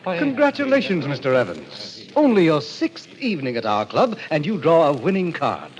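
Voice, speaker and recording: An actor playing a polished, sinister gentleman who runs a deadly card club, speaking with formal, ceremonious, hypnotic courtesy in 1940s radio style. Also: a little high, huge pitch swings, moderate pace, a crowd in the background. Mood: suave congratulation. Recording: dull, noisy old radio recording.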